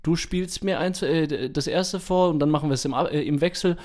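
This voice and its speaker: Higher-pitched man chatting informally. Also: a little mellow. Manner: informal, halting, self-correcting with repeated false starts. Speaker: podcast host. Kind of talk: casual chat.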